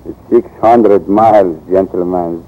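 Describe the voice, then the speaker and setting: A man's voice: low-pitched, fairly even intonation, unhurried. A man playing a wary, mercenary North African pasha in an old-time radio drama, speaking with hesitant, bargaining courtesy. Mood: doubtful, bargaining. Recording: dull, hissy old radio recording.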